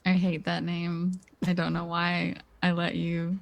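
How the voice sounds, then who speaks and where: A woman's voice: on the low side, completely flat delivery, measured pace, vocal fry. A woman streamer playing in a tabletop game, blunt and swearing casually. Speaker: player and streamer. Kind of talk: casual stream outro chat.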